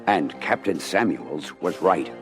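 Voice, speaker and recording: A man's voice: rumbling low, lively intonation, a little slow. A man narrating a scripted adventure story in a dramatic storytelling style. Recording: music bed under voice. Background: music.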